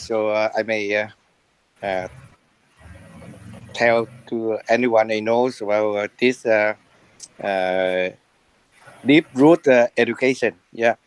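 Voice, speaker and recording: A man with a Vietnamese accent speaking as a class participant on a video call, halting with many fillers. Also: medium pitch, clear, resonant tone, no room sound, poor audio.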